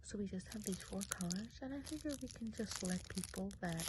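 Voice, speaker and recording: A woman playing a kindly witch of the woods in a soft-spoken, whispered ASMR role play, chatty and unhurried. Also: medium pitch, expressive, noisy background, close to an everyday voice. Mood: chatty, gentle. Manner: hushed, unhurried, chatty, often trailing off.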